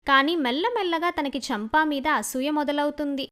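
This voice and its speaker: A woman's voice: sits high, normal speed, fairly bright tone. A woman reading a scripted children's story as its narrator.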